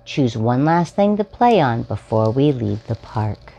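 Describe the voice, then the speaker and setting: Woman playing a patient, soothing storybook mom, very lively. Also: rumbling low, unhurried, creaky, exaggerated voice. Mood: gentle, patient. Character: kind, patient, soothing mother of a small boy.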